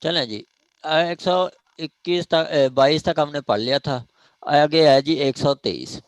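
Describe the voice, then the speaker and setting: A man's voice: fairly high pitch, expressive, measured pace, no room sound. A man with a Pakistani accent lecturing on law, explaining step by step, repeating points, checking understanding and mixing in English legal phrases. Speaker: law teacher. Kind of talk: live online law lecture with questions.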